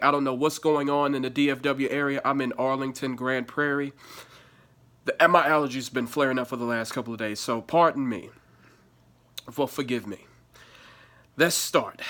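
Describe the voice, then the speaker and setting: A man's voice: medium pitch, fairly bright tone. A man with a stuffy nose from allergies, giving blunt, profane sports commentary and reading an article aloud.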